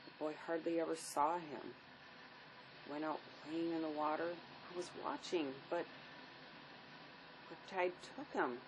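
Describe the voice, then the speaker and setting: A woman's voice: sits low, measured pace, pauses often. A woman acting a weary, grieving divorced mother in a drama, world-weary and subdued. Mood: grieving, bitter. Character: grieving, weary divorced mother who lost her young son. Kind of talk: stage-style dramatic play acting. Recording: noisy amateur recording.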